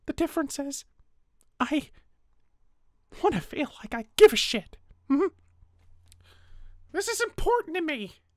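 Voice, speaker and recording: A woman as a high-voiced, bitter underdog. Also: sing-song, unhurried, long pauses, a little mellow, no room sound, clean studio sound. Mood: earnest. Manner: casual, sardonic, sweary. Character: bitter, defensive, world-weary character with wounded pride. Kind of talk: naturalistic roleplay voice acting.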